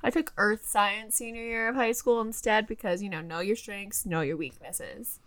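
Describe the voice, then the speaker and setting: A young woman co-hosting a podcast in casual chat, her voice croaky, giggly and self-mocking. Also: mid-range voice, normal speed, fairly bright tone. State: croaky from a cold-like voice. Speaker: podcast co-host.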